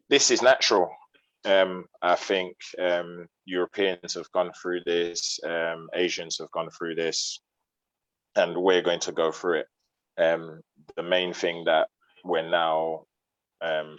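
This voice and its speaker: A man in a community discussion, laying out his view step by step in a measured, explanatory way over online call audio. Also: a bass voice, a bit bright, dry recording. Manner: measured, explanatory, lays out points in sequence. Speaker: debate participant. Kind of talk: discussion argument. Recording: dull, dry lo-fi audio.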